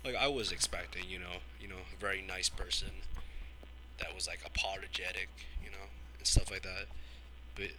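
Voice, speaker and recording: A man's voice: sits low, fairly even intonation, moderate pace, pushed and bright, a little hiss or hum, low-quality recording. A young man hosting a podcast, chatting casually with lots of fillers and jokes. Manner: rambling, many fillers, joking. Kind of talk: casual chat.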